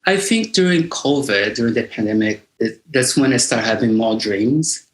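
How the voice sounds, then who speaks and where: A man's voice: mid-range voice, expressive, normal speed. A middle-aged man from Bahia with a Brazilian accent, a native Portuguese speaker, talking reflectively as an interview guest and searching for his words. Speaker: guest singer-songwriter. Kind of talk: conversational interview.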